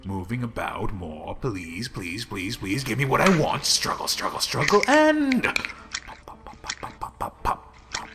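A man doing a creepy male plague villain, slow drawn-out delivery, swooping sing-song pitch, hushed menacing whispers, faint music behind. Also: big pitch swings, exaggerated voice. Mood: gloating. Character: obsessive, contemptuous male plague-breeding villain. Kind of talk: villain roleplay audio drama.

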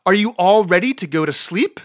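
A woman voicing a patient, tired father rooster in a storybook, measured and gently chiding. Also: mid-range voice, lively intonation, no room sound, clean studio sound, close to an everyday voice. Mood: gentle.

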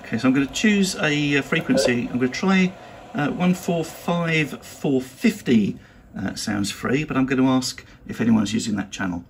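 A man's voice: medium pitch, moderate pace, creaky, a little hiss or hum. A British man giving an instructional demonstration as an amateur radio operator and trainer. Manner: spells call signs in phonetic alphabet.

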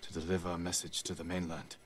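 An actor playing a stern, resolute samurai lord in a video game, speaking in a terse, measured, grave way. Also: male voice, low voice, little pitch movement, faint music behind, natural voice. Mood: grave, insistent. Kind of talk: video game cutscene acting.

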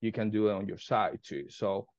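A man in his thirties with a Spanish accent, a limo business owner speaking in halting, non-native English as a guest on a video call from home. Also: lowish voice, a little mellow, no room sound. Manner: halting, repeats words, non-native grammar. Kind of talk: casual interview on a video call. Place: living room at home. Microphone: phone camera on a video call. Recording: dull, dry phone-call audio.

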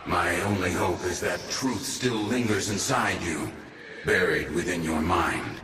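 An actor playing a stern, grave old warrior mentor in a video game, speaking in solemn, cryptic, foreboding lines. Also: male voice, deep voice, unhurried. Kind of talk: scripted video game dialogue. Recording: echoey, distant, with noisy ambience.

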